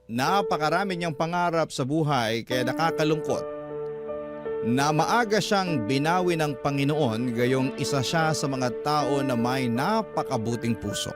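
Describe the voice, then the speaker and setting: A man acts out a grieving young man recounting his story for a radio drama. His voice sits a little high, and the scripted narration is carried over prominent music. He is full of remorse. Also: close to an everyday voice. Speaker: story narrator reading a letter sender's account.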